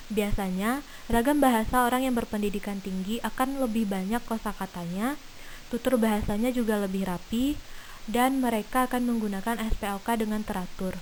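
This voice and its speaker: A young woman student in her twenties giving an earnest, measured lesson on language variation, with frequent hesitations. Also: a little high, a little mellow, slight background noise, low-quality recording. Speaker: linguistics student presenter. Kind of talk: reading an explanatory lecture.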